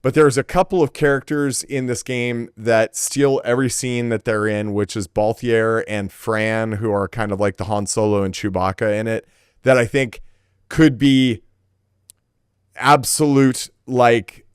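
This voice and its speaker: A man's voice: mid-range voice, steady tone, measured pace, clean tone, dry recording, studio quality. A man co-hosting a gaming podcast, talking casually and enthusiastically with an informal turn of phrase.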